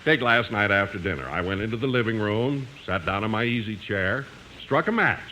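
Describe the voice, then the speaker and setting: An actor playing a jovial, doting fat husband who gets theatrically exasperated, in 1950s radio comedy style. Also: male voice, lowish voice, lively intonation, fairly quick, sharp tone. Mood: playfully exasperated. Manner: sugary endearments, theatrical exasperation. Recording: noisy 1950s radio broadcast recording.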